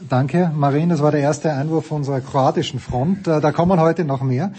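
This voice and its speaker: A man's voice: a little high, warm tone, slightly rough. A man with an Austrian accent hosting a casual sports talk show, joking and teasing his panel. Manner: jokey, teasing, quick moderator. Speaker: host.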